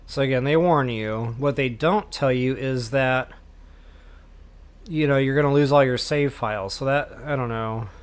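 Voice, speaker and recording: A man's voice: medium pitch, moderate pace, smooth. Casual American man giving game commentary. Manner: informal, candid, explanatory. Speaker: gameplay walkthrough host. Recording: faint game noise behind voice.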